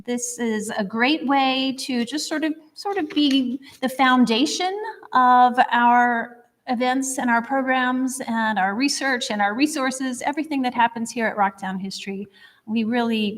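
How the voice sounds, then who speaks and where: A woman hosting a history society talk, chatty and upbeat as she makes announcements and introduces the speaker to a live audience. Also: fairly high pitch. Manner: chatty, upbeat, a little rambling. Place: lecture room before an audience. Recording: long hall reverb.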